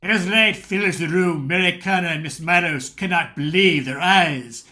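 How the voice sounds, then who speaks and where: A man's voice: high voice, moderate pace, sharp tone. A man reading scripted, dramatic narration for a radio play.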